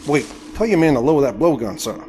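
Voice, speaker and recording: A man's voice: on the high side, big pitch swings, fast, very noisy. An actor playing a proud, indignant Southern crossbowman of the 1870s in an audio drama, with formal, theatrical speech. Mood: earnest. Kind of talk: audio drama with first-person narration.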